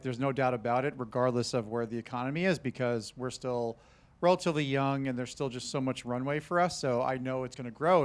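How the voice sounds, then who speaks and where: A man answering on an industry panel, hesitant and thinking aloud with many pauses. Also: medium pitch. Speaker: panelist, brand marketer.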